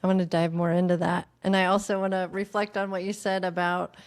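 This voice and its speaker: A woman's voice: on the low side, little pitch movement, a little fast. A woman hosting a podcast interview, reflective and searching for words as she asks questions. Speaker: podcast host. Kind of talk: conversational interview.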